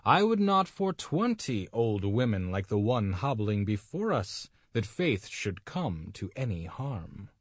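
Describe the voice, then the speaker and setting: A man's voice: on the low side, very animated, a little slow, few pauses, dry recording, clean studio sound. A man voicing a sly old male devil disguised as a mocking traveller. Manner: mocking, smooth.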